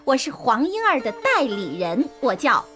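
A woman's voice: fairly high pitch, big pitch swings, normal speed, over loud music. A woman playing a cheeky, melodramatic young maiden in a comedic radio drama, theatrical and outspoken. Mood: pleading.

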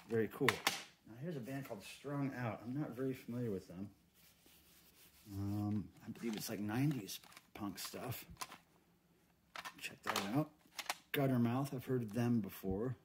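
A middle-aged American man giving casual, folksy unboxing commentary as a host, thinking aloud as he goes. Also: fairly low pitch. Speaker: host of a cassette unboxing video. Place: indoors at a tabletop. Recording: clear crinkling and handling noise. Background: plastic wrap crinkling, cases clicking.

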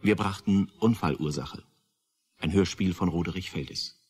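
Deep, slow, husky male radio announcer. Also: darkish tone. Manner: formal, sober, list-like delivery. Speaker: announcer reading the credits.

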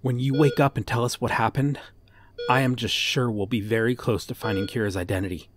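A man acts out a devoted detective weighed down by guilt, in a dramatic ASMR audio roleplay. The mid-pitched male voice is earnest and brooding. The delivery is halting, with thoughts set out piece by piece. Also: fairly even intonation, natural voice. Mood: sorrowful, pleading. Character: guilt-ridden, devoted male detective hunting a serial killer.

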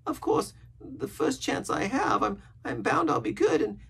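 A man's voice: extremely high pitch, sing-song, unhurried, creaky, a bit bright, breathy edge, voice pushed up, exaggerated voice. A man voicing a half-crazed, sly and pious marooned sailor in a storybook, with a strained, cracking voice and rustic pirate grammar. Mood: pious, rambling.

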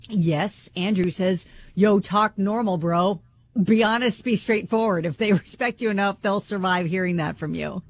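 A woman's voice: medium pitch, normal speed. Woman radio co-host reading a listener letter. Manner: reads listener letters, dry teasing. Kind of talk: radio talk show banter. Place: radio studio. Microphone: studio microphone.

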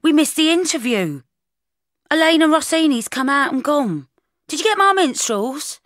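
Woman as yelling male camera assistant, raised pitch. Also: sing-song, no echo, clean studio sound. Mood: urgent yelling. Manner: shouted, hurried.

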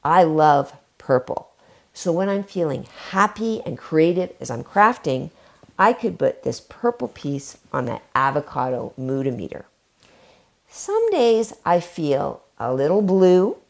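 A middle-aged woman speaks to children in a low, gravelly voice that swoops up and down with lively expression. She stretches key words out like a storytime reader while showing a craft. She sits in a home library, recorded by a camera set a little way off. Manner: warm storytime delivery, drawn-out emphasis. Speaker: children's book author. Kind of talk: reading aloud and craft demo for kids. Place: home library, seated in armchair before bookshelves.

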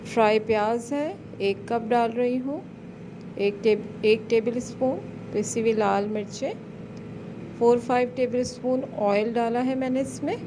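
A woman hosting a cooking channel, calmly talking through a recipe step by step in a voice-over. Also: a little high, measured pace, noisy background. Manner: calm step-by-step instructions.

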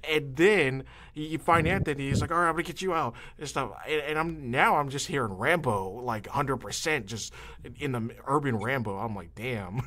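A man hosting a podcast in casual chat, with a halting, meandering way of talking. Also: medium pitch, bright, forceful tone.

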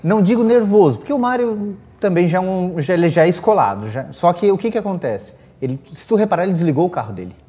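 A man's voice: high voice, animated, fast talker, hardly any pauses, dark tone. A middle-aged man with a southern Brazilian accent, chatting casually as a racing guest on a panel. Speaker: guest drag racer. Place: small indoor studio set.

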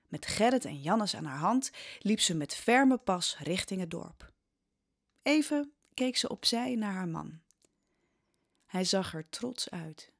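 A woman's voice: on the low side, slightly rough. A woman narrating a historical audio drama as scripted, dramatic storytelling.

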